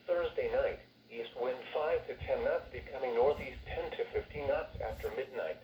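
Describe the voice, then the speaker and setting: A man's synthetic-sounding announcer voice reading scripted forecasts and notices over weather radio in a formal, measured way. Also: medium pitch, far from the mic, muffled recording, a little hiss or hum. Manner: formal, automated, evenly measured delivery. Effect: weather radio broadcast voice. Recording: radio speaker picked up in an echoey room. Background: weather radio playback.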